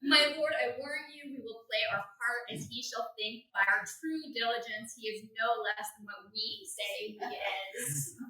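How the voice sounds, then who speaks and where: A woman's voice: a little high, fairly even intonation, a little slow, sharp tone. A woman playing a dutiful, obedient household servant in a staged Shakespeare reading. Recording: echoey room, far from mic.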